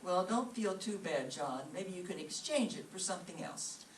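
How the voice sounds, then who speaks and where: A woman's voice: fairly low pitch, animated, normal speed, natural voice. Lady playing long-suffering radio comedy wife, dry scolding but fond, far from the mic, echoey room. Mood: placating. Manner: reproachful, patient, dry. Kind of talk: old-time radio comedy acting on stage. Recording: roomy, distant mic with background noise.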